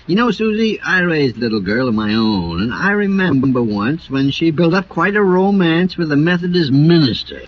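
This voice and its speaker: A man playing a kindly older small-town mayor, gentle and fatherly, in 1940s radio drama style. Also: fairly high pitch, very animated, normal speed, few pauses. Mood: gentle, amused. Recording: muffled, hissy old radio recording.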